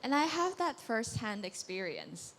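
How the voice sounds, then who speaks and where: A woman's voice: on the high side, sing-song, measured pace, a bit bright. A young woman from Hong Kong, a coral biologist, presenting enthusiastically on a conference stage with a handheld mic. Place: large conference hall on stage. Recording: long hall reverb, faint noise.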